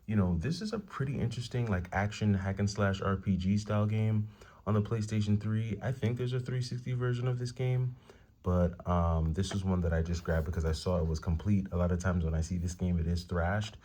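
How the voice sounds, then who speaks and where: A man with a deep, rumbling voice talking quietly at home late at night, casually showing the games he has picked up. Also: brisk. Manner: quiet, hushed talk to avoid waking the family. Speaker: retro game collector vlogger. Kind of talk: casual haul show-and-tell.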